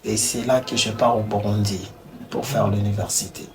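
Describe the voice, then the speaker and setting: A man's voice: lowish voice, level tone, moderate pace. A man with a Congolese accent, a musician being interviewed, chatting informally and often repeating his phrases. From Bukavu, DR Congo. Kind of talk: casual chat mixing French and Swahili. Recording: noticeable background noise.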